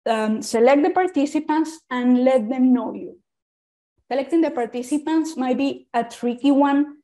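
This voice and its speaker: A woman from Venezuela speaks English with a Venezuelan Spanish accent. Her voice sits fairly high and has a warm, mellow tone. She presents earnestly and informally, often restarting and repeating words as she goes. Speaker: speaker on usability testing.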